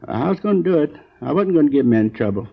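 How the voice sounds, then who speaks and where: A gravelly old American man in his sixties, a hurt and drowsy storekeeper. He rambles in a halting, folksy, earnest way, on a dull 1950s crime drama recording. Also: fairly high pitch, animated, exaggerated voice. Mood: earnest, weary. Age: 60s. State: groggy, in pain.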